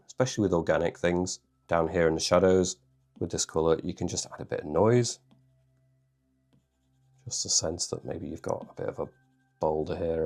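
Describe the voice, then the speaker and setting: A man with a bunged-up cold voice, calmly talking through a drawing tutorial step by step. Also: sits low, vocal fry. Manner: calm, reassuring, thinks aloud. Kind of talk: relaxed step-by-step tutorial narration.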